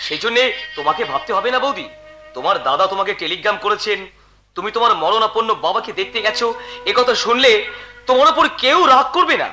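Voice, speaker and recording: A sly young villain of the family in a stage melodrama. He is extremely high for a man and forcefully bright, theatrical and emphatic, swinging widely in pitch. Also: expressive, fast, faint music behind, heavily acted. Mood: falsely concerned. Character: scheming, falsely solicitous young brother-in-law villain. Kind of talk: Bengali jatra folk-theatre melodrama.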